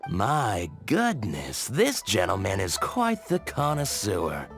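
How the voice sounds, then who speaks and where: An actor playing a snobbish shopkeeper in an anime game dub, pompous and theatrical, with a haughty drawl. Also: male voice, lowish voice, huge pitch swings, slow pace, vocal fry, a bit bright, soft background music, exaggerated voice. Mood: impressed, fawning. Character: snobbish, haughty shopkeeper who fawns on connoisseurs.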